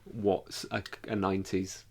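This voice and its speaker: A man's voice: sits low, level tone, unhurried, vocal fry. A man co-hosting a podcast in a casual, informal chat. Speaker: podcast co-host.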